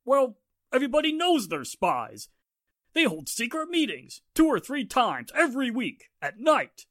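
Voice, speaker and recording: An actress playing a blustering, indignant small-town mob spokesperson in a 1940s radio drama, with an accusing manner. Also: female voice, a little high, huge pitch swings, unhurried, fairly bright tone, no echo, clean studio sound, full character voice. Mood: stubborn, indignant.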